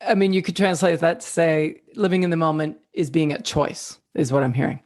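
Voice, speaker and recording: A woman hosting a podcast interview who responds warmly and often murmurs agreement. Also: low-pitched, brisk. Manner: encouraging, frequent murmured agreement. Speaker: podcast host.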